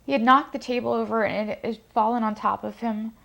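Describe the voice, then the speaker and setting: A woman's voice: on the high side, steady tone, brisk, creaky, a bit bright. An actress playing a reflective woman giving a hesitant, confiding account in a naturalistic documentary interview style. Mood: shaken. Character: reflective woman recounting meeting her secret half-brother.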